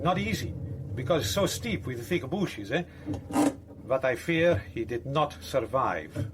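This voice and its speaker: An actor playing a courteous, shrewd police captain with an Italian accent and formal, slightly imperfect English in a radio drama. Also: male voice, medium pitch, big pitch swings, measured pace. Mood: grave. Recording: clear background noise, outdoor ambience.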